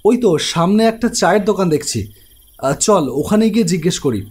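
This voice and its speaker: A protective elder brother narrating his own story. His slightly high voice moves in lively rises and falls, brisk and teasing with his sister and reassuring to others. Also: breathy edge. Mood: polite. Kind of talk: animated ghost story narration and dialogue.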